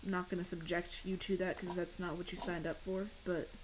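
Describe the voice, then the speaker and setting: Young female streamer, deep voice, rambly chat over gameplay, faint hiss behind. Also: level tone, fairly quick. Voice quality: fairly deep voice. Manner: chatty, rambling, answers chat questions. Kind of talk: casual chat while gaming.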